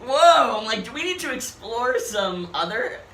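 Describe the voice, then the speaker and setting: A man's voice: extremely high pitch, big pitch swings, unhurried, gravelly, crowd noise. A man co-hosting a casual chat, sounding exasperated and sarcastic. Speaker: podcast co-host. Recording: echoey noisy room.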